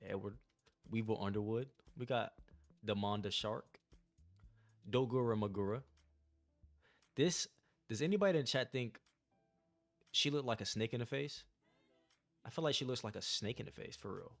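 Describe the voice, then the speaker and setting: Low-voiced laid-back young man streaming. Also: normal speed, creaky, long pauses, soft background music. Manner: laid-back slangy chatter to the chat. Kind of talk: casual livestream card-opening commentary.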